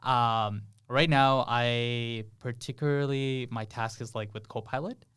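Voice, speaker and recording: A young man from Bangladesh speaks in a smooth, clear voice at a middling pitch. He is a guest on a casual podcast, chatting and laughing easily. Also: steady tone, a little slow, a bit bright. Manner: laughs often, explains with many fillers. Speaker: podcast guest, software engineer.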